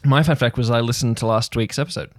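A man's voice: mid-range voice, steady tone, fast talker, darkish tone. A man with an Australian accent chatting casually as a podcast host and game master. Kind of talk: casual chat.

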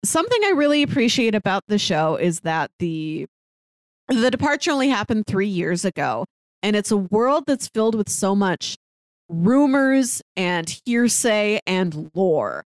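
A woman's voice: medium pitch, big pitch swings, unhurried, no room sound. Woman chatting casually, close dry sound. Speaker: podcast co-host. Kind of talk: casual chat about a TV drama.

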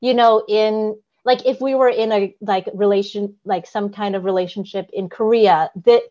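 A woman's voice: medium pitch, fairly even intonation, moderate pace, warm tone, lo-fi sound. A woman co-hosting a podcast, explaining things carefully in casual chat, with frequent "um"s and a giggly manner. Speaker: podcast co-host.

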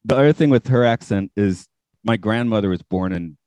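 A man's voice: on the low side, fairly quick, darkish tone, no echo. A man with an American accent hosting a podcast, musing in a laid-back, anecdotal, casual chat. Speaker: podcast host.

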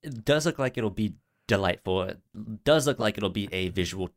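A man co-hosting a casual movie podcast, speaking in a halting way with stammered, repeated syllables. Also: fairly low pitch, expressive. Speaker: podcast co-host.

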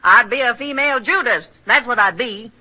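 A woman's voice: a little high, normal speed, bright, forceful tone, soft background music. An actress playing a no-nonsense, feisty frontier mother with a rural American accent and emphatic homespun talk, in an old-time radio western. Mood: indignant. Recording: dull lo-fi old radio recording.

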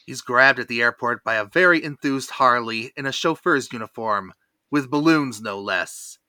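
A podcast host telling a cartoon episode recap in a lively, theatrical storytelling manner. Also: mid-range voice, normal speed, no echo.

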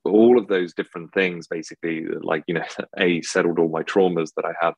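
A man's voice: deep voice, fairly even intonation, fairly quick, a little mellow, slightly rough, no echo. A man with an English accent answering interview questions as a hospitality tech founder, rambling with lots of filler words. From Czech Republic. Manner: rambling, heavy filler words like "basically" and "you know".

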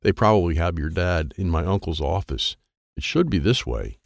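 A man voicing a pushy, matter-of-fact young girl who explains things briskly in a children's story. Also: deep voice, animated, vocal fry, no echo, clean studio sound.

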